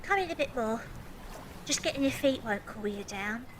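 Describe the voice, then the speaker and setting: A woman's voice: fairly high pitch, expressive, normal speed, noisy background, close to an everyday voice. A woman playing a defiant young Victorian match girl who used to be in the circus, plain-spoken, in an audio drama. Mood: coaxing. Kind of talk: fan audio drama acting and first-person narration. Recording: music behind the voice.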